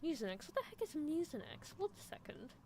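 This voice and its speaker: A woman's voice: sits high, sing-song, fairly quick, a little mellow, slight background noise. A woman streaming an improvised ASMR roleplay as a scheming, possessive anime mad scientist, muttering in theatrical exasperation. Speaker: streamer performing character roleplay.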